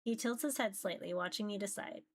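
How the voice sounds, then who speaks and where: A woman reading a visual novel aloud in an expressive first-person narration, occasionally stumbling over words. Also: mid-range voice, fairly quick. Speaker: VTuber reading a game aloud. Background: music.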